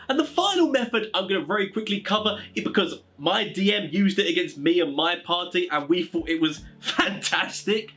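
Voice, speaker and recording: A man's voice: sits high, fairly bright tone. A man giving informal gaming advice in a rambling, conversational way, often leaning on stock phrases. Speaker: tabletop gaming advice presenter. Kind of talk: informal explainer talk.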